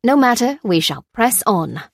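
A woman's voice: a little high, big pitch swings, measured pace, vocal fry, dry recording, voice pushed up, exaggerated voice. A pompous male lab director, put on by a woman narrator. He is genial and formal on the surface, smug and coldly dismissive underneath, in a dry audiobook recording. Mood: breezy, smug. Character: breezy, smugly cheerful male scientist boss, coldly callous.

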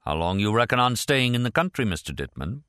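Man playing customs supervisor, officious, suspicious, deadpan, mock-polite, animated pitch, storybook reading. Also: brisk, no room sound. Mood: dry. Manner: dry, deadpan interrogating.

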